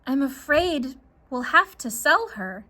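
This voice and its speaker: Woman as an exasperated, high-pitched farm mother. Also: big pitch swings, slow, sharp tone, full character voice. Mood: sad, resigned. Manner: stern, scolding, emphatic.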